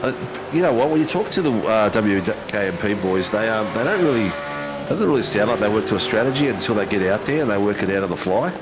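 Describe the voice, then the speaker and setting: Chatty Australian man, low voice. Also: lively intonation, quick pace, poor audio. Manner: chatty, matey, many ums and uhs. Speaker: race commentator. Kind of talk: casual sim racing commentary.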